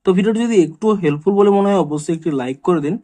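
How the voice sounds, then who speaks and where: A man's voice: sits high, rapid-fire, warm tone. A man hosting a tech tutorial, narrating step by step and addressing viewers directly in a repetitive, instructional way. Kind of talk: scripted how-to screen walkthrough narration.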